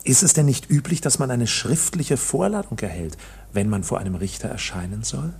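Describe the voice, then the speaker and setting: An actor playing a polite, bewildered accused man in a German radio play, questioning in a formal manner with a husky voice. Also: medium pitch, animated, normal speed, darkish tone, full character voice. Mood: puzzled, indignant.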